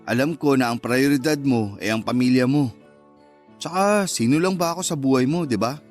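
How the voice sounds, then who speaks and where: A man's voice: medium pitch, normal speed, faint music behind, close to an everyday voice. A man playing the wistful, sulky lover of a married woman in a Tagalog radio love drama, casual and understated. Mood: hurt, resigned.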